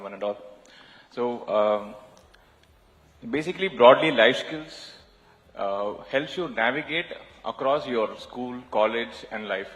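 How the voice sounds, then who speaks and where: A man with an Indian English accent answering a panel question, halting often with fillers and restarts. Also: mid-range voice, a bit bright. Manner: hesitant, many fillers and restarts. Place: large conference hall. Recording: reverberant hall.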